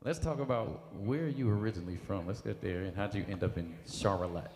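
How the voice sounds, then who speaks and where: A man's voice: fairly low pitch, a little fast, darkish tone, big-room sound. A man hosting a talk show, casually prompting a guest with questions.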